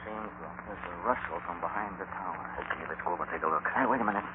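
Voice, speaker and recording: A man playing a rough, dim American henchman with an unschooled way of talking in a radio western. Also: sits low, rapid-fire, natural voice. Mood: wary. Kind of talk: old-time radio western acting. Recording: very noisy, muffled old radio recording.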